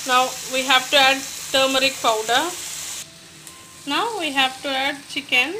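A woman's voice: high-pitched, steady tone, measured pace, noisy background. A woman, giving step-by-step recipe instructions as a voice-over in a home kitchen. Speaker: cooking channel host.

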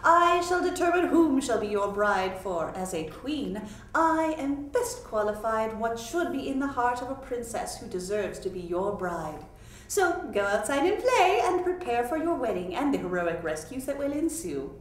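Casting: regal doting queen mother for a storybook, acted by a woman reader, big pitch swings, echoey distant sound. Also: very animated, normal speed. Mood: doting, commanding. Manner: regal, dramatic. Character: grand, doting, commanding fairy-tale queen mother. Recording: echoey room, distant microphone, noisy.

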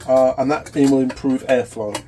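A man in his forties with a cold and a sore throat, hosting a casual workshop tutorial in a small electronics workshop, explaining in a halting way with many fillers. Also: medium pitch, steady tone, mellow, a little hiss or hum. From United Kingdom. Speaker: electronics repair channel host.

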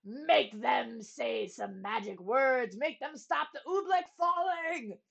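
A proud old king from a storybook, as a woman reader puts him on. He draws his words out slowly and swings into haughty bellows and groans, a blustering ruler who is helpless underneath. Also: high-pitched, animated, creaky, pitched above the performer's own voice, full character voice. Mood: commanding, desperate.

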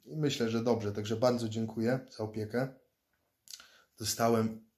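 A man in his thirties from Poland, talking casually to a close-up phone camera from a small room indoors, rambling and often repeating words as he restarts. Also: mid-range voice. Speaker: fighter and self-defense instructor vlogger. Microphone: phone or laptop camera close up.

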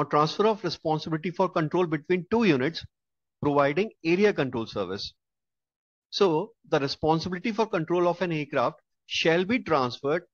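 Male instructor voice-over, slightly high pitch, patient explainer, muffled dry recording. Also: no echo. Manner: explanatory, with hesitations and repeated restatements. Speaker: aviation instructor. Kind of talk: slide-based tutorial narration.

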